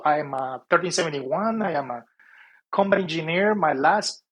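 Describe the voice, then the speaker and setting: A man's voice: medium pitch, fairly bright tone. A middle-aged man from Peru, a guest in an interview, explaining earnestly in lists, with some restarts. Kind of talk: casual interview talk.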